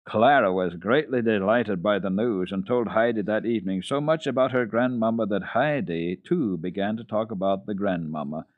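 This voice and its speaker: A man narrating a classic children's story dramatically, in an expressive, measured storytelling manner. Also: lowish voice, dry recording, narrow, tinny audio. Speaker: storyteller, children's radio program host.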